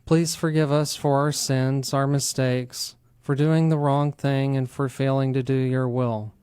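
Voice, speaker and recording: Clear-voiced American male radio host. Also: medium pitch, flat delivery, a little slow, a little mellow, dry recording, clean studio sound. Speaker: radio show host, attorney. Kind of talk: talk radio hosting, explanatory.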